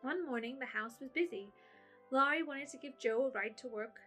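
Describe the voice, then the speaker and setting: Narrator, woman, fairly high voice, expressive storybook reading. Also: normal speed, soft background music.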